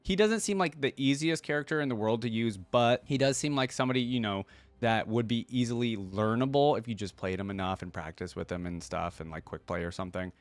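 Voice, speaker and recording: A man's voice: medium pitch, fairly quick, no echo. A man hosting a reaction video, giving casual, musing commentary with short murmured responses.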